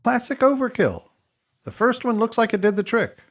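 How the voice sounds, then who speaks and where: A man's voice: very high-pitched, expressive, normal speed, muffled recording, full character voice. An actor playing a wisecracking male medical examiner with dry punchline delivery in a comedic radio drama. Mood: deadpan.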